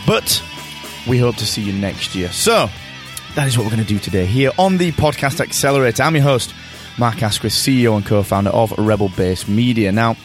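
A British man hosting his own podcast, talking alone from a hotel room in an upbeat, enthusiastic way. His voice is a little croaky from tiredness, and he often repeats words as he talks. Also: medium pitch, animated, a little fast, soft background music. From Britain. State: slightly croaky voice. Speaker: podcast host. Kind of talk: solo podcast monologue.

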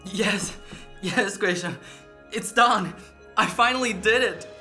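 A woman playing a passionate, devoted young male painter in a radio drama, earnest and halting. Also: medium pitch, sharp tone, faint music behind. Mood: dazed joy.

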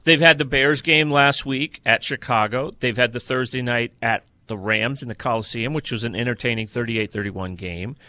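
A man's voice: mid-range voice, normal speed, hardly any pauses, pushed and bright. A man co-hosting a sports podcast, talking football in a casual, conversational way.

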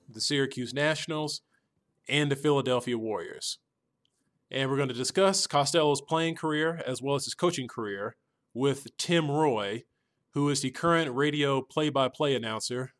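Mid-pitched male podcast host. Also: a bit bright. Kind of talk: conversational podcast introduction.

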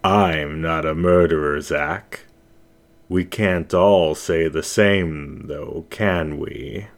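Man voicing a shadowy vigilante, very low pitch, slow drawn-out delivery, taunting, menacing, storybook villain-hunter. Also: fairly even intonation, exaggerated voice. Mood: accusing. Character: menacing unseen avenger, a slow, deliberate voice from the shadows.

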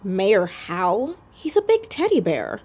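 An actress playing a persistent, inquisitive teenage girl sleuth in an audio drama, earnest and halting as she probes. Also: mid-range voice, wildly swooping pitch, darkish tone, husky, exaggerated voice. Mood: exasperated, curious.

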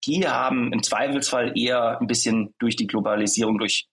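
A man's voice: medium pitch, steady tone, normal speed, a bit bright, slight background noise, low-quality recording. A man being interviewed about his company, speaking with many hesitations and fillers. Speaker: startup founder guest.

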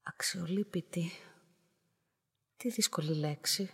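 An actress playing a young, lonely woman who narrates her own story in a radio drama, soft, restrained and matter-of-fact. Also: on the low side, slightly rough. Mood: subdued, hesitant. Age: young adult. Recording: music behind the voice.

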